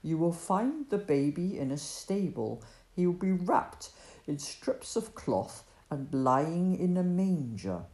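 A woman voicing a majestic male angel messenger, proclaiming slowly and grandly in a storybook reading. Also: on the low side, sing-song, dark tone, echoey room. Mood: commanding. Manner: slow, grand proclaiming delivery.

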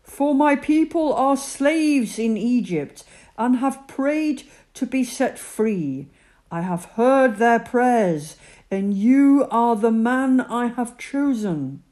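Lady doing god's voice, loud and bossy but kind, warm low tone. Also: expressive, slow. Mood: commanding. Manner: commanding, reassuring. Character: God, booming voice from a burning bush.

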